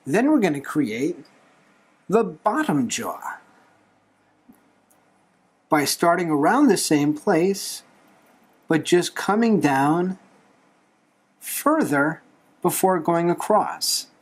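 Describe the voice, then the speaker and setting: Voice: mid-range voice, big pitch swings, takes it slowly, pauses often, breathy edge. A tutorial host talking through a drawing step by step in a playful, encouraging manner. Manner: playful, light humour, encouraging.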